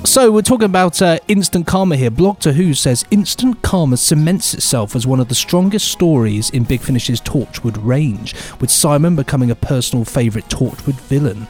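A man's voice: fairly high pitch, animated, normal speed, hardly any pauses, a little mellow, soft background music. A man co-hosting a casual comic podcast, rambling playfully with silly asides. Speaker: podcast co-host.

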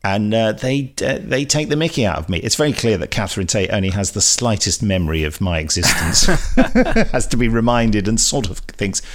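A man's voice: fairly low pitch, expressive, a little fast. A man with a British accent co-hosting a podcast, chatting casually with wry, mock-grand humour. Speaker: podcast co-host. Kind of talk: casual humorous chat.

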